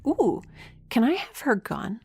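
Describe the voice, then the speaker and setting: A woman's voice: on the high side, sing-song, measured pace, a little mellow, slightly rough, exaggerated voice. Chatty, eager young space mutineer woman. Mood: cheerful, awkward. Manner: rambling, cheerful, informal. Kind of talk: audio drama acting, conversational.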